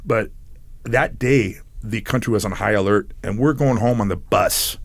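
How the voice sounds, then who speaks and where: Gravelly low-voiced man from San Diego. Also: normal speed, no echo. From San Diego, United States. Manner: rambling, laughs between phrases. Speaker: guest. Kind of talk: casual storytelling.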